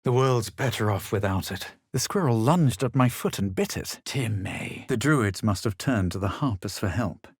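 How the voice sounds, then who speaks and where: A self-assured, superior spellcasting adventurer from a fantasy video game. The voice is low and husky, with an animated melody under formal, measured diction. It is recorded very dry and close to a game script's polish. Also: male voice, no echo. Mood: composed, superior. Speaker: video game player character.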